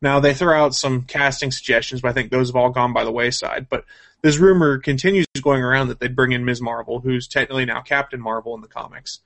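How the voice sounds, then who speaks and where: Man with mid-pitched voice, casual co-host. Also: fairly even intonation, fast, fairly bright tone. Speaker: show host. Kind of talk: casual co-hosted chat about gaming and comics news.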